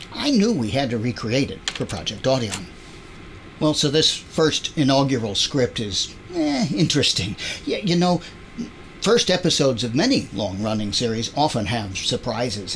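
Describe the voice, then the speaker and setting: A man's voice: medium pitch, a bit bright, slight background noise. A man hosting an audio drama introduction in a chatty, playful, wry conversational style.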